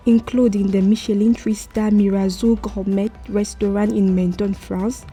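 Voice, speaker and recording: A woman's voice: medium pitch, little pitch movement, moderate pace, warm tone, breathy edge. A woman reading a scripted profile as a voice-over, muffled, with music behind her. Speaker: voice-over narrator. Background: faint music.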